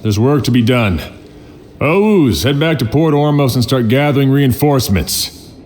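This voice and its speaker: A man's voice: mid-range voice, animated, moderate pace, a little mellow, close to an everyday voice. A man voicing a commanding team leader. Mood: brisk. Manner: giving orders. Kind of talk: video game voice acting.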